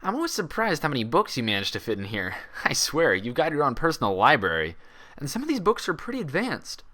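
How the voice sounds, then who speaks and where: A man's voice: fairly high pitch, wildly swooping pitch, brisk, sharp tone, voice pushed up, heavily acted. A man voicing a cheerful pony doctor who talks in a chatty, falsely soothing, condescending way. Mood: soothing, upbeat. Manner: chatty, placating, chuckling.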